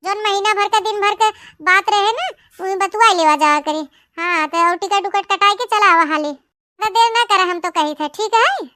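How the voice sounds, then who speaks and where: A shrill, flirty village sister-in-law. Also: female voice, moderate pace, bright, forceful tone, dry recording, studio quality. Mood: secretive, coaxing. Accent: Awadhi. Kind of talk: cartoon comedy acting in rural dialect.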